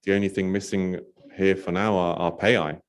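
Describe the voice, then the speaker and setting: Man, very low voice, from poland, panel guest, relaxed, chatty, filler words. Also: normal speed. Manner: relaxed, talkative, with many "you know" fillers. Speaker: company representative, panel guest. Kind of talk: conference panel answer. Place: conference stage.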